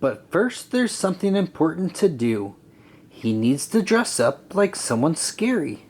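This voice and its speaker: Slow, lively male children's storybook reader. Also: on the high side. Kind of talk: children's read-aloud narration.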